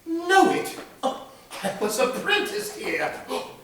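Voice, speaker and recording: A man performs an elderly miser who gushes in breathless, laughing exclamations. The voice rides high and swings widely. It sounds distant and very echoey. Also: big pitch swings, a little slow, background noise, full character voice. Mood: giddy nostalgia. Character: miserly old man, stirred to giddy joy.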